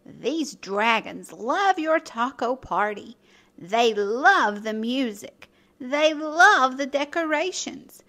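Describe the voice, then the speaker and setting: A woman narrates a children's picture book in a high, bright voice. She reads slowly and playfully, with expressive storytelling that speaks straight to the young listener and the characters. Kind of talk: animated read-aloud storytelling.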